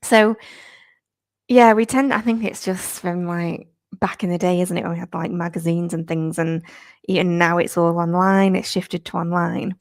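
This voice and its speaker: A woman, a fitness instructor, chatting upbeat and slightly croaky on a live stream, laughing often. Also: fairly low pitch, steady tone, normal speed. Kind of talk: live-stream chat with viewers. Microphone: new clip-on microphone.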